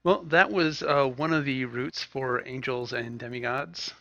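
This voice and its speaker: A man's voice: medium pitch, a little slow, gravelly, fairly bright tone, no echo, highs cut off. A man giving casual gameplay commentary as a gaming video host, with a wry, self-mocking manner.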